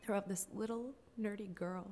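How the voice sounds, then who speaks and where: A woman's voice: medium pitch, steady tone, moderate pace, warm tone, slight background noise, natural voice. A woman performing a dramatic stage monologue as a grieving, regretful older sister, blunt and defensive. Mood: bitter, defensive.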